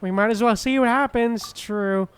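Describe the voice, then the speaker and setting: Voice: medium pitch, moderate pace, clear, resonant tone. A game streamer giving casual commentary in short, offhand reactions.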